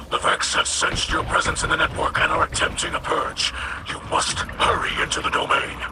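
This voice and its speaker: A man playing a solemn, devout alien elder in a video game, speaking formally and haltingly in a breathy rasp. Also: a little high, lightly acted. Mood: urgent. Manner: formal, deliberate, halting phrasing. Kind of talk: cinematic video game dialogue. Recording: loud music behind the voice. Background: loud game soundtrack.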